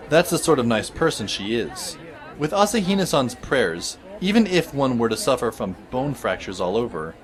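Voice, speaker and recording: A man playing a sardonic, world-weary high school boy who narrates an audio drama in a wry, dry manner. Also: natural voice. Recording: music behind the voice.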